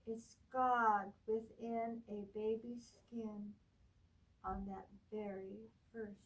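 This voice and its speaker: A woman librarian reads a picture book to children in a slow, gentle sing-song voice, her lines often sinking to a hush. Her voice sits fairly high. She is recorded from a distance, with faint noise in the background. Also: level tone. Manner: gentle sing-song reading, dropping to whispers. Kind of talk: children's storytime read-aloud.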